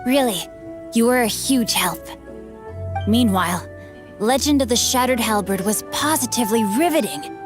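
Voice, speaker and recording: A woman's voice: a little high, lively intonation, normal speed, over music, close to an everyday voice. A woman voicing a refined, bookish, mischievous young nobleman swordsman in an anime video game, with eloquent, archly polite speech. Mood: amused, composed.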